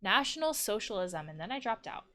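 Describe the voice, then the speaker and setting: A woman reads the part of a bitter, brooding man in a visual novel, confessing as a therapy patient. The delivery is earnest and measured, with a mid-range pitch that moves expressively. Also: very animated, bright, forceful tone. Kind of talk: reading visual novel dialogue aloud.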